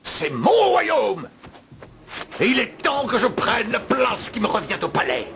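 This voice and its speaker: An actor playing an arrogant, menacing false prince in a dubbed animated fantasy film, pompous and insulting. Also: male voice, extremely high pitch, measured pace, noisy background, heavily acted. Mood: enraged. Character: arrogant, menacing male usurper posing as a prince. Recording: loud music under the dialogue.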